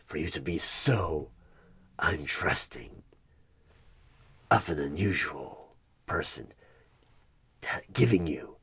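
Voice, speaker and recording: Very deep, husky demonic tempter. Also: male voice, animated, fairly bright tone, full character voice. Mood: taunting, persuasive. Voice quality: husky, gravelly growl breaking into breathy whispers. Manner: menacing, slow, deliberate, manipulative. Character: sinister male demonic tempter offering a corrupt deal. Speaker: job interviewer. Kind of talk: one-sided horror ASMR audio roleplay.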